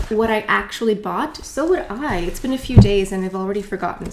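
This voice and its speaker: A woman's voice: medium pitch, darkish tone, slight background noise. Chatty woman unboxing beauty products. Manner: chatty, rambling, with many asides and fillers. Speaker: beauty vlogger. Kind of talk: casual product unboxing chat.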